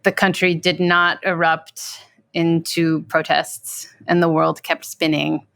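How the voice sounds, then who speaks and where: A woman's voice: sits low, little pitch movement, slow pace, creaky, a bit bright, no echo. A woman dramaturg recollecting events in an interview.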